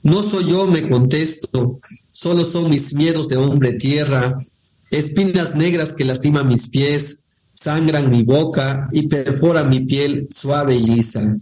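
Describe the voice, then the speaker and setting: A man, a Nahuatl speaker from Guerrero, reading poems and explaining language in an unhurried way, with many pauses and fillers. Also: a little high, a little mellow, a little hiss or hum, poor audio. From Guerrero, Mexico. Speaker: guest poet. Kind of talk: poetry reading and casual conversation.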